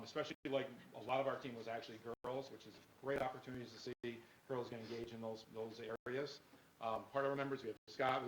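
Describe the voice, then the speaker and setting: A man with a loud voice, speaking informally as a teacher and team advisor presenting at a meeting. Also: mid-range voice. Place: meeting room. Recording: echoey room with faint noise.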